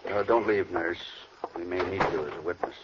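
An actor playing a sober, matter-of-fact police lieutenant in a 1940s radio crime drama. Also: male voice, deep voice, a little fast, lightly acted. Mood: official. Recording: noisy muffled old radio recording.

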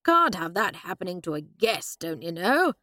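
A fussy elderly English gentleman, as a woman reads him, blustering and hesitant in his old-fashioned way. The voice climbs and dips in a lively sing-song, pitched a little above the reader's own and set a touch back from the mic. The recording is dry and close, with no room sound. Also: moderate pace, no echo. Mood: flustered. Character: fussy older upper-class English gentleman.

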